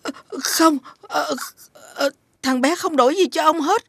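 A woman voicing a frightened but protective kindly old woman in a fairy-tale cartoon, husky-voiced. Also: high-pitched, a little slow, a bit bright, dry recording, studio quality, exaggerated voice. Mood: anxious, pleading.

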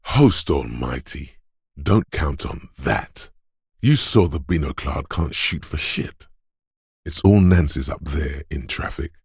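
Domineering inner voice of muscle, game narration, heavily rasping, dry close studio sound, deliberate tough talk. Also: male voice, animated, darkish tone, dry recording, exaggerated voice. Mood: harsh, goading. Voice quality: very deep, gravelly, raspy. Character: gruff, domineering inner voice of brute physical strength. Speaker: video game character narrator. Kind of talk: scripted game narration, dramatic second-person.

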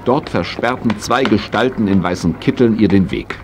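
An actor narrating a children's horse story as storyteller in a German audio drama. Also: male voice, fairly low pitch, moderate pace, a faint crowd, slight background noise, natural voice. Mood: lively.

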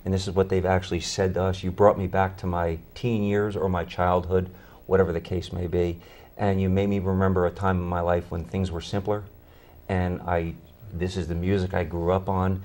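Low-register middle-aged male musician, conversational interview guest, small studio. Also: little pitch movement, moderate pace, a little mellow. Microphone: lapel mic.

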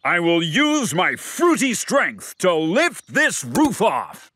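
A man's voice: sits high, wildly swooping pitch, slow, no room sound, heavily acted. A man voicing a pompous, heroic cartoon potato superhero, declaiming grandly. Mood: heroic, bombastic. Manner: bombastic, declamatory. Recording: loud music behind voice.

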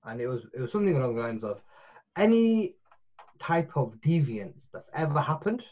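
A man in his forties talks over a video call from a small room at home, rambling and restarting as he gathers his thoughts. His voice is husky, and the call audio comes through dull and muffled. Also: a little high, big pitch swings, moderate pace. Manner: rambling, full of restarts and fillers. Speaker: podcast guest. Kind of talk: casual podcast chat.

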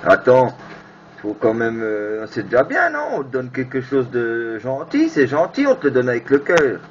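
A man's voice: medium pitch, huge pitch swings, moderate pace. A man hosting a casual amateur radio chat, informal and hesitant as he searches for words. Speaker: amateur radio operator and video host. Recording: noisy, muffled FM radio room recording.

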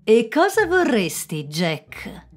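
A furious, vengeful devil voiced by a woman reading a storybook tale, booming out orders in a raised, lively voice. He swings between cold menace and loud outbursts, with faint music behind. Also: slow pace, heavily acted. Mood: menacing.

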